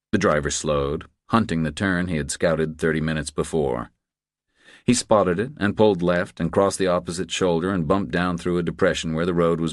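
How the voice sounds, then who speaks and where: Very deep-voiced male audiobook narrator. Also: dry recording, clean studio sound. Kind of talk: scripted thriller narration.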